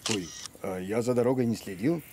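A man voicing an eager, teasing talking dog who blogs, in an animated cartoon. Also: on the low side, brisk, a little hiss or hum, natural voice. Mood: casual. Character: eager talking dog, a chatty video blogger. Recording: music under the voice.

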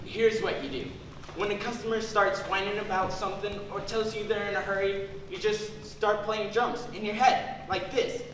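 A man's voice: high voice, little pitch movement, normal speed, natural voice. A young man on stage playing a laid-back metalhead store worker with slangy slacker talk. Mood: confiding. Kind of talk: stage comedy acting. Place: school theater stage. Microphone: distant camera in the audience. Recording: echoey theater stage from far off, noisy.

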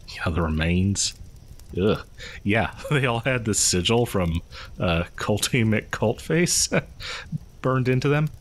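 A man playing a chatty, creepily friendly killer posing as a partygoer in a horror audio roleplay, rambling hesitantly and conspiratorially with chuckles. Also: lowish voice. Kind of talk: horror audio roleplay, casual storytelling to listener.

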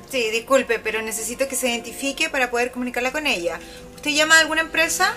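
A woman's voice: a little high, quick pace, bright, forceful tone. An actress playing a young Chilean professional woman, brusque and easily rattled, in a radio drama. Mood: businesslike. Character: young female civil engineer, assertive, easily rattled and indignant. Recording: music behind the voice.

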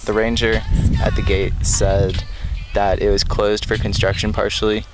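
A man's voice: lowish voice, flat delivery, moderate pace, over music. A young man vlogging a road trip outdoors, joking and laughing often as he chats. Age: young adult. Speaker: travel vlogger. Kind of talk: casual chat. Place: outdoors at a trailhead parking lot. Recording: noisy outdoor camera audio.

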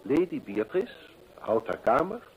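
An actor playing a keen, methodical gentleman detective in an old radio play, deliberate and rhetorical as he reasons aloud. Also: mid-range voice, big pitch swings, low-quality recording. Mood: analytical, intent.

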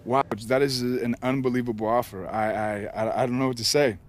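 A man's voice: medium pitch, steady tone, a little hiss or hum, natural voice. Man playing easygoing young American athlete. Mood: amazed. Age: young adult. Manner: casual, halting, earnest. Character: eager, easygoing young male college basketball star. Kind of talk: naturalistic video game cutscene acting.

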